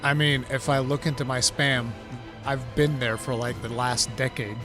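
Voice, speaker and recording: A man's voice: medium pitch, steady tone, normal speed, over music. A man in his forties chatting casually on a game stream, with a teasing, playful manner and frequent exclamations and swearing. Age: 40s. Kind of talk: casual chat, banter.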